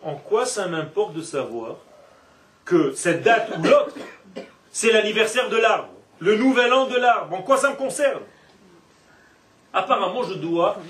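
A man giving an animated Torah class with playful asides, recorded from a distance in a roomy classroom. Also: high voice, measured pace, pauses often. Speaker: Torah teacher. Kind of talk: religious class lecture. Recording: echoey room, from far off.

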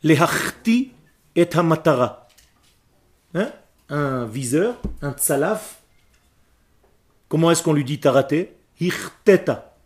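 A man's voice: on the high side, expressive, takes it slowly, long pauses. Emphatic man teaching a class. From Israel. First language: Hebrew. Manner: emphatic, questions the class, explains word by word. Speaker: Hebrew and Torah teacher.

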